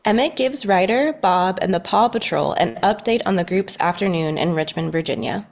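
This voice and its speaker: A woman's voice: on the low side, expressive, normal speed, gravelly. A woman reading scripted narration for a children's story at a table read.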